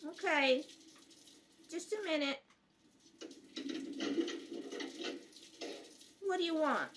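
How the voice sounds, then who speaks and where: A woman's voice: high voice, measured pace, recorded from a distance, noisy background. A woman playing a frightened, defensive young girl in an amateur radio play. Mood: wary. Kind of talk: amateur radio drama read-through.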